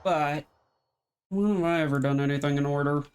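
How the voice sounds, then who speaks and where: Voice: medium pitch, normal speed, darkish tone. A game streamer giving casual, offhand, laid-back commentary while playing live. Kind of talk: casual livestream gameplay commentary. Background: faint game music.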